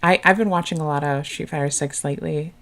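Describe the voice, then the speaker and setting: Woman, low voice, soft and chatty, casual stream commentary, laughs often. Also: fairly even intonation, fast talker.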